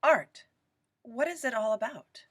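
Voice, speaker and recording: A woman reading a children's picture book aloud expressively, phrasing deliberately with dramatic pauses. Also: on the high side. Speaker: children's storytime reader.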